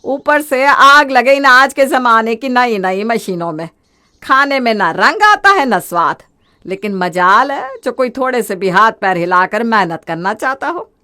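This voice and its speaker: A woman's voice: fairly high pitch, expressive, a little slow, sharp tone. A woman voicing a nagging, old-fashioned older mother-in-law who grumbles and scolds in a family moral story. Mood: scolding.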